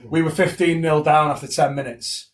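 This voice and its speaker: A man's voice: a little high, fairly even intonation, moderate pace. A man co-hosting a casual sports podcast, giving a matter-of-fact match report. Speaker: podcast co-host. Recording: distant from the mic.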